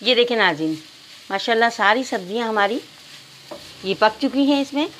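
A middle-aged woman with an Urdu accent calmly explaining a recipe step by step to viewers in a home kitchen. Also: fairly high pitch, moderate pace, slight background noise, poor audio. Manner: calm, instructive, addresses viewers as nazreen. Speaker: cooking channel host. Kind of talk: step-by-step cooking instructions.

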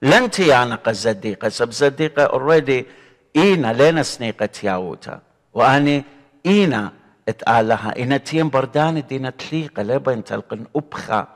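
A middle-aged man with an Assyrian accent teaches scripture as a deacon, speaking earnestly and expressively. His voice sits at an ordinary pitch for a man. A long reverb trails each phrase, as if in a large hall. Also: brisk. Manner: earnest, gesturing, expressive preaching. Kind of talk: bible study teaching. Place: indoor studio before a painted church backdrop.

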